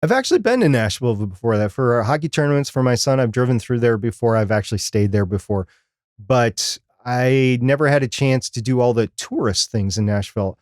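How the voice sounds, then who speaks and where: A middle-aged man talks as a podcast co-host in an unscripted live chat. His pitch is average for a man, and his explanations wander conversationally. The sound is close and dry, with very little room. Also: animated, fairly quick, no room sound.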